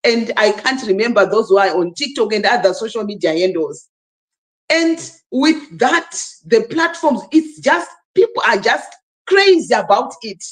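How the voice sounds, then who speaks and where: A woman's voice: a little high, lively intonation, normal speed, poor audio. A woman from Zimbabwe hosting a live stream panel, speaking emphatically and urging the listeners on. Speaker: livestream host. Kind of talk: casual live stream talk.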